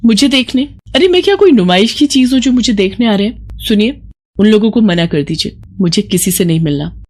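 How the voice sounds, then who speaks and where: A narrator voicing a bratty, short-tempered young city daughter-in-law who scolds indignantly in an animated moral story. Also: medium pitch, fairly quick, pitched above the performer's own voice. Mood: indignant, scolding.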